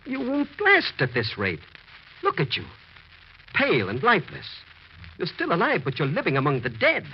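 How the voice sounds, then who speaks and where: An actor playing a concerned, stern doctor who reasons earnestly and insistently in a 1940s radio horror drama. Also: male voice, a little high, wildly swooping pitch, moderate pace. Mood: urgent, stern concern. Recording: muffled, noisy old radio broadcast.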